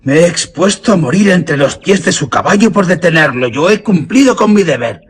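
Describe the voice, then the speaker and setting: A man's voice: fairly high pitch, lively intonation, normal speed, a little hiss or hum, natural voice. An actor playing a loyal, superstitious old huntsman in a suspense radio drama, with solemn, archaic Castilian Spanish diction. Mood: agitated, resigned.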